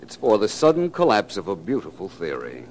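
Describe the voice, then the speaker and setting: An actor playing an urbane, self-assured detective with polished, wry, precise speech, in 1940s radio mystery style. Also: male voice, fairly low pitch, huge pitch swings, fairly quick, a little mellow. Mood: thoughtful. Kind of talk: 1940s radio crime drama acting. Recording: noisy vintage radio recording.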